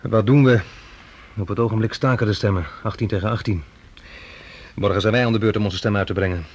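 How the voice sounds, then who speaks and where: An actor playing an amiable, inquisitive academic delegate in conversational radio play dialogue. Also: male voice, lowish voice, fairly quick, breathy edge, highs cut off. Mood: agreeable.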